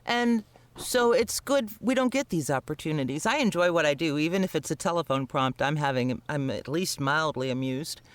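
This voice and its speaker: A man with a high voice talks casually among fellow podcasters. He is wry and playful and happy to swear. The sound is dry, with no room to it. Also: animated, moderate pace, few pauses, smooth, no echo. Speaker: comedy podcast cast member and writer. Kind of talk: casual group chat.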